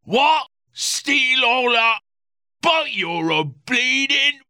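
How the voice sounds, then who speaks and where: A man's voice: very high voice, very animated, very slow, pushed and bright, smooth, no echo, clean studio sound, voice pushed up, heavily acted. A man voicing a drunk, leering middle-aged male reporter, coarse and slurring. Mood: belligerent.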